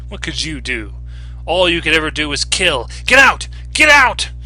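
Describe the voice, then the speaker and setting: A man plays a scorned, middle-aged peasant woman in a fantasy audiobook. The voice is pitched well up, bright and forceful, bitter and shrill in its outbursts. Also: huge pitch swings, normal speed, faint music behind, exaggerated voice, rough recording. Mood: furious, anguished. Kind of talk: dramatic fantasy audiobook reading.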